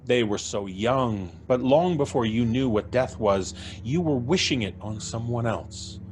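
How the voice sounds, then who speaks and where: A man narrating a story in a live audio drama, with a measured, brisk storytelling manner. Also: medium pitch, faint music behind.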